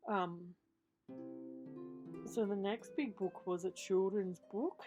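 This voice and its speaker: A woman's voice: medium pitch, steady tone, slow, warm tone, faint music behind. Chatty Australian woman with slight lisp. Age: 50s. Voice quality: slight lisp from a missing tooth. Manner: chatty, unscripted, often trailing off and self-correcting. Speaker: craft vlogger. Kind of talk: casual, rambling show-and-tell voiceover.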